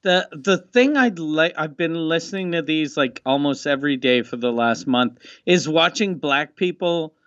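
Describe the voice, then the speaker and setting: High-voiced man on a dull, dry mic. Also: animated, normal speed, few pauses, fairly bright tone, dry recording. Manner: laid-back, rambling, profane. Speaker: podcast co-host. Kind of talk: casual chat.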